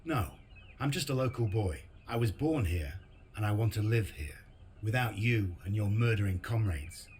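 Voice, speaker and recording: An actor playing a defiant, resolute local resistance leader in a video game. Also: male voice, lowish voice, lively intonation, normal speed, a little mellow, a little hiss or hum, close to an everyday voice. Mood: firm, defiant. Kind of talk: video game cutscene acting and narration.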